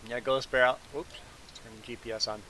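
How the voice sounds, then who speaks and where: A man with a mid-pitched voice chats casually as a live streamer. He sounds a little sniffly and rambles along in a conversational way. A faint hiss sits behind his voice. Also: fairly quick, rough recording. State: sniffly nose from congestion. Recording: steady background hiss.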